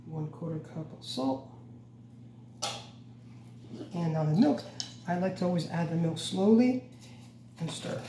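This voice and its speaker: Middle-aged man, home cooking host, relaxed step-by-step instruction, roomy kitchen sound, distant camera mic. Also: fairly high pitch, a little slow, long pauses, background noise. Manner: relaxed, instructive, self-correcting, repeats "go ahead and". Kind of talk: casual step-by-step cooking demonstration. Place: large bright home kitchen, indoors. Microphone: camera mic from across the kitchen.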